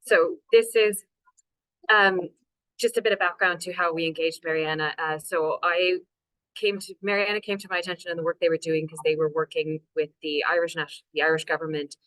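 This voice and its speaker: A woman's voice: fairly low pitch, steady tone, a little fast, fairly bright tone, no room sound, poor audio. A woman in her thirties with a Canadian accent, giving a chatty webinar talk on a video call about her local authority's climate work, with lots of fillers. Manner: chatty, self-deprecating, many fillers. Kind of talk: webinar presentation.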